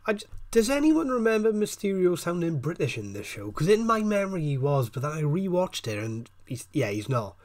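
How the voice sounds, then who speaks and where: A man's voice: a little high, very animated, fairly quick, few pauses, a little mellow. A man narrating a scripted video essay as host, with a wry manner. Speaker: host of a cartoon review video.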